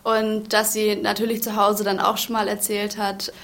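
A woman's voice: mid-range voice, completely flat delivery, normal speed, fairly bright tone. A nineteen-year-old woman chatting candidly about her experience. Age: young adult. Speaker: school companion.

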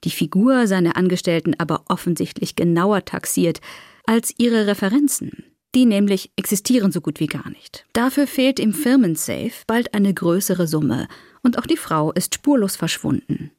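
A woman's voice: mid-range voice, a little mellow, no room sound, clean studio sound. A woman reading a scripted case summary as a podcast narrator.

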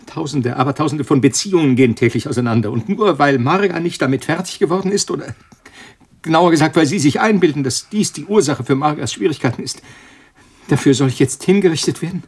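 Husky, cornered, defiant scientist. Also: male voice, a little high, expressive, fast. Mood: indignant. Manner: sarcastic, agitated, protesting. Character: cornered, defiant male scientist, sarcastic and agitated. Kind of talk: German radio play acting.

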